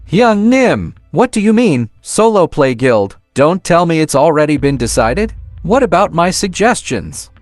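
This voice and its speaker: A man's voice: on the high side, very animated, measured pace, hardly any pauses, dry recording, soft background music. A man playing an eager, fussy young vice guild master in a manga dub, earnest in manner. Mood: dismayed.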